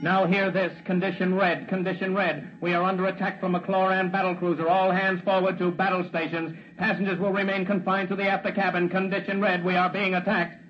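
A ship's intercom officer. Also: male voice, high-pitched, a little fast, few pauses. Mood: urgent. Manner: flat urgent commands. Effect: intercom speaker. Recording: dull vintage radio recording.

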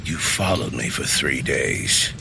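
Very deep, gravelly menacing rider. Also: male voice, lively intonation, slow, noisy background, heavily acted. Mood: cold menace. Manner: terse, threatening. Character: menacing male rider, bounty hunter in the Old West. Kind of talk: horror western audio drama acting.

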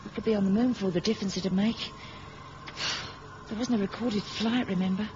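Old radio play bit faintly noisy, woman acting calm practical lady spy, mid pitch, wry. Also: a little fast, highs cut off, natural voice. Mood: uneasy, wry. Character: calm, practical, wry female secret agent. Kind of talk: 1970s radio drama acting.

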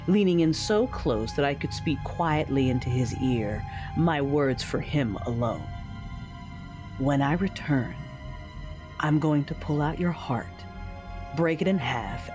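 Guy narrating sci-fi audio drama, cold menacing measured voice, music underneath. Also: mid-range voice. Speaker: first-person narrator and dark side commander.

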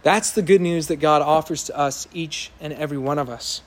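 A man's voice: fairly high pitch, brisk. Earnest, emphatic preaching man. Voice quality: loud voice. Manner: earnest, emphatic, building to impassioned peaks. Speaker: pastor. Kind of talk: sermon. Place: church sanctuary with congregation.

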